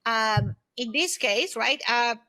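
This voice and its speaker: Female, 50s, interview guest. Bright, forward voice, slightly high, dry close sound, earnest explainer. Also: slow, no echo. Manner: earnest, explanatory, often checks in with "right?". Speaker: podcast guest, consultant and former aerospace executive. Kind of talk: conversational interview answers.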